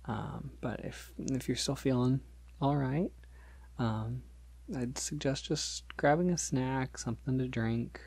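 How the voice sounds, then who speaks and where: A man playing a polite, gentle vampire in an ASMR roleplay, speaking softly and hesitantly, often breathy and near a whisper. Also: mid-range voice, slow, no room sound, heavily acted. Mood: gentle, reassuring. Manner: hesitant, reassuring, softly chuckling. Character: polite, awkward, gentle young male vampire. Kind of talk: ASMR roleplay, soft-spoken and intimate.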